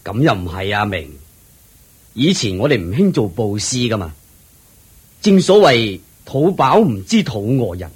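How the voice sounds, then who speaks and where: An actor playing an elderly, generous lord in a radio drama, speaking in a slow, measured, approving way with a Hong Kong Cantonese accent. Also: male voice, lowish voice, big pitch swings, breathy edge, heavily acted. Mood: earnest. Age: older. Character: elderly kindly male lord, generous father-in-law.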